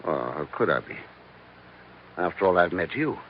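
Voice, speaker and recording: An actor playing a suave, cool-headed secret agent with a dry, commanding manner, in 1940s radio style. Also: male voice, deep voice, big pitch swings, moderate pace. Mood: smooth, flirtatious. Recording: muffled, noisy old radio recording.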